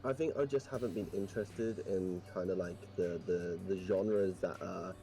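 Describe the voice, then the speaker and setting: A man's voice: low voice, fairly even intonation, moderate pace, warm tone, soft background music, low-quality recording. A man with a posh English accent chatting casually as a guest, rambling thoughtfully with many fillers. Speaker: podcast guest. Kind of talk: casual chat.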